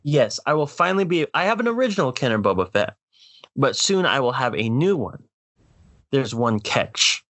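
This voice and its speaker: Animated man ranting on a podcast. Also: medium pitch, normal speed, no echo. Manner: mock-dramatic, exasperated, addresses listeners directly. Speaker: podcast host. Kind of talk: comedic rant.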